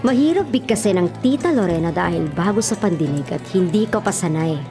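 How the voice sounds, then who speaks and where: An actress playing a composed, scheming middle-aged mother with a measured, controlling manner in a radio drama. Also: lowish voice, lively intonation, music in the background. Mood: composed, pointed.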